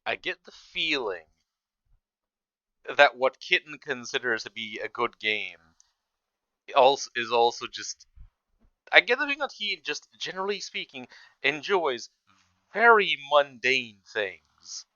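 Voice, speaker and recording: Bright-voiced man casually reacting, dry sound. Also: medium pitch, animated, unhurried, pauses often, dry recording, highs cut off. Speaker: reaction video host. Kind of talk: casual commentary on an animated video.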